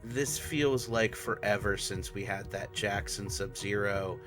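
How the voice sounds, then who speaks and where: A man delivers casual review commentary in a somewhat low voice. He recaps as he goes, slipping in dry, sardonic remarks. Faint music plays behind him. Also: measured pace. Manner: sardonic, wry asides. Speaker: movie reviewer.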